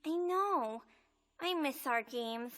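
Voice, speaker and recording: A woman's voice: high voice, animated, slow, a bit bright, heavily acted. A woman voicing an anxious, sensitive little vampire girl in a video game, in a childlike, earnest manner. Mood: shy, earnest. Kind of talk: video game cutscene voice acting. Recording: music under the voice.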